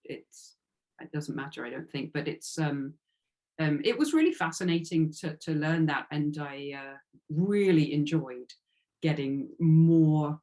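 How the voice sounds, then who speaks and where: A woman's voice: low voice, a little slow, mellow. A woman giving a reflective interview as an author, speaking earnestly and tentatively and circling around her phrases.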